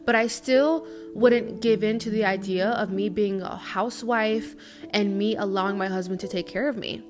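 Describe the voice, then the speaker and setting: Chatty young woman over guitar music. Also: mid-range voice. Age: early 20s. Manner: chatty and earnest, with lots of fillers like "you know". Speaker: lifestyle vlogger. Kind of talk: casual confessional talk to camera. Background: guitar music underneath.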